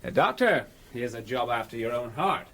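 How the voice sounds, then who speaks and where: An actor playing a sardonic, curt police inspector in a radio drama. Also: male voice, mid-range voice, expressive, moderate pace, a bit bright. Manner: sarcastic, curt.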